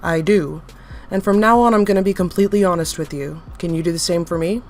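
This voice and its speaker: A woman's voice: lowish voice, quick pace, darkish tone, faint music behind, close to an everyday voice. A woman voicing a firm female researcher. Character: female scientist, firm and direct interviewer.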